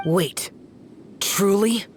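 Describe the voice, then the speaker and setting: Cold stern young immortal warrior, game voice, formal old-timey wording, faint noise behind. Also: male voice, high-pitched, very slow. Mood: grave, scornful. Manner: terse, cold, formal archaic phrasing. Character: aloof, stern, youthful male immortal demon-slaying guardian. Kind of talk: video game voice acting.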